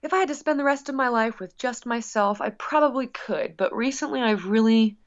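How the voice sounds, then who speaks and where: A woman's voice: fairly high pitch, brisk, highs cut off. A woman guest chatting casually in an interview about her work as a musician, rambling expressively with frequent asides.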